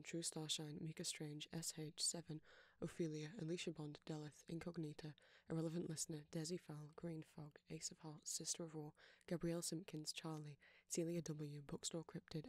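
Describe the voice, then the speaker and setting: A woman with a low voice reads out credits in a list-like recitation. Her intonation stays very level from one name to the next, and the sound is dry, with no room around it. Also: darkish tone, no room sound. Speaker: audio creator thanking patrons.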